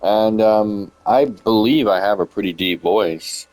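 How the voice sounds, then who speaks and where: A man's voice: fairly low pitch, measured pace, darkish tone, dry recording, soft background music, rough recording. A young man casually commenting on a video game as he plays, rambling with lots of hesitant fillers. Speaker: gamer streaming a playthrough.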